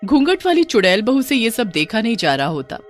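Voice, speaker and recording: A woman's voice: a little high, big pitch swings, a little fast, faint music behind. A woman storyteller reading a scripted horror story in a dramatic narrating style.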